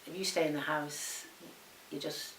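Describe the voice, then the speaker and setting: A woman's voice: low voice, steady tone, normal speed, fairly bright tone. A woman, answering unscripted interview questions. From Liverpool, England. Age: 70s. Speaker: documentary interviewee. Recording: roomy sound with faint hiss.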